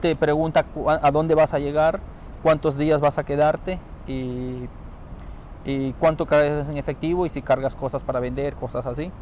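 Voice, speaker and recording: A man from Ecuador with an Ecuadorian accent, calmly explaining his experience in a street interview, often trailing off softly. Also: fairly high pitch, normal speed. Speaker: interviewee, newly arrived asylum seeker. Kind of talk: casual interview answers. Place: outdoors on a city street. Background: faint traffic noise.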